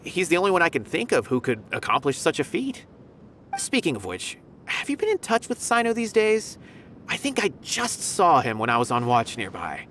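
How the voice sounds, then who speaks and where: A man's voice: fairly high pitch, huge pitch swings, moderate pace, fairly bright tone, a little hiss or hum. An actor playing a polite, earnest male academy officer who reports courteously, in a video game cutscene. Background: game music.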